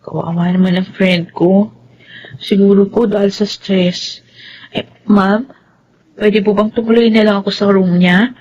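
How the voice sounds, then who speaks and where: Radio drama female actor, worried, pleading, soft-hearted type, medium pitch, slight background noise. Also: steady tone, a little slow, long pauses, highs cut off, close to an everyday voice. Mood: polite, concerned. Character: soft-hearted, pleading young-to-middle-aged woman, polite and worried.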